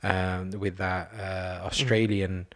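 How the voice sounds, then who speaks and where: A man asking questions in a casual conversation, halting and repeating words as he searches for the right phrasing. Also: low-pitched, little pitch movement. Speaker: podcast co-host, interviewer.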